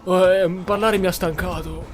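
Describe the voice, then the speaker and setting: A man's voice: high voice, steady tone, normal speed, soft background music. An Italian man giving casual commentary on a roleplay game playthrough. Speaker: game streamer.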